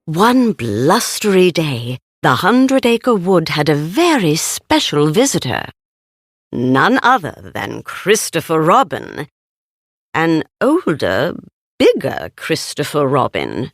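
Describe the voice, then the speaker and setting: A woman reads a children's storybook at an unhurried, slow pace. Her pitch moves expressively up and down, and the recording is dry and close. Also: huge pitch swings, dry recording. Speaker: storybook narrator.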